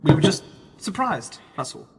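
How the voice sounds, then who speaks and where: A polite magazine journalist, played by a male actor in a radio play. His voice sits a little high, and he is cautious and tactful, probing in short measured replies. The sound has long reverb over faint background noise. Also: sing-song. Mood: earnest, polite.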